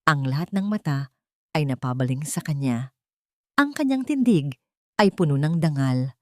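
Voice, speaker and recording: A woman tells a scripted drama with solemn, deliberate delivery. Her voice sits on the low side, and the recording is very dry, with no room sound around it. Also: mellow, no room sound. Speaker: audio drama narrator. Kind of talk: dramatic scripted story narration.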